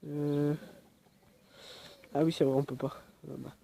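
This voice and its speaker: A man's voice: a little high, flat delivery, unhurried, gravelly, warm tone. A young man with a cold, rambling with lots of fillers as he films his yard outdoors on a phone. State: has a cold. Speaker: vlogger. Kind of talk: casual outdoor vlog. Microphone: phone camera.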